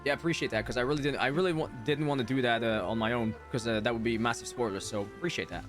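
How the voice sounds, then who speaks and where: A man chatting casually and a little haltingly on a gaming livestream. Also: medium pitch, over music. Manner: informal, halting, chatting with viewers. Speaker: game streamer. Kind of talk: casual livestream chat over gameplay.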